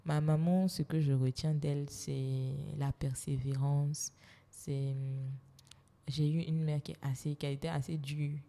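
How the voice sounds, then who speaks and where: A woman, a human resources specialist, speaking as an interview guest in a measured, thoughtful way, laying out her points calmly. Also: deep voice. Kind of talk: casual interview. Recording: long hall reverb.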